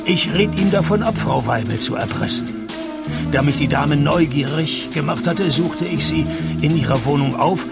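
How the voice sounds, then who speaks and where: An actor playing a wry, hard-drinking private detective, speaking in a deadpan, laconic way in a noir radio play. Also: male voice, fairly high pitch, moderate pace, over loud music. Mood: dry narrating. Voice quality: husky, gravelly. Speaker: narrator and lead.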